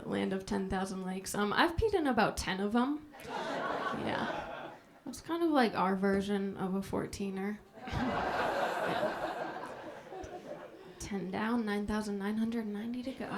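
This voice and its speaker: Soft-spoken, gravelly deadpan woman comic. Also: medium pitch, unhurried, noisy background. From Minnesota. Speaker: stand-up comedian. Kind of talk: stand-up set. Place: comedy club stage before a live audience. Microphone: handheld stage mic.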